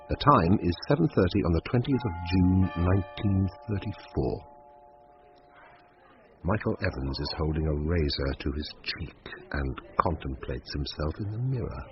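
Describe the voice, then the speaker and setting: Deep-voiced, wry radio drama narrator. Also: male voice, lively intonation, moderate pace, faint music behind. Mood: dry, measured. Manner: literary, dryly formal. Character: measured, wry narrator of a school murder mystery. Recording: muffled, lightly noisy old radio recording.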